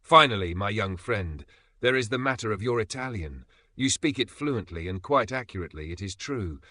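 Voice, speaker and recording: A man puts on an ironic Italian businessman who savours every teasing remark, stretching his words out grandly. The voice sits low but sounds bright and forceful, and it is recorded close and dry. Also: lively intonation, no room sound. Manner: teasing, drawn-out and theatrical. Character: amused, ironic Italian businessman in Paris, middle-aged man.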